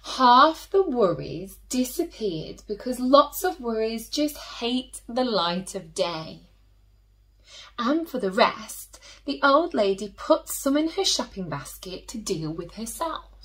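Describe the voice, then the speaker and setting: A woman storyteller with a fairly high, very animated voice. She reads slowly and expressively for young children, often turning to them with gentle questions.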